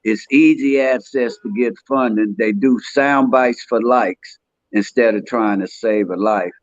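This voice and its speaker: A man in his sixties talks plainly and earnestly, with streetwise turns of phrase. His voice sits at a middle pitch. The sound is dry and a little dull, like a lo-fi recording. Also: measured pace, dry recording. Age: 60s. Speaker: podcast guest. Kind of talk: casual conversation.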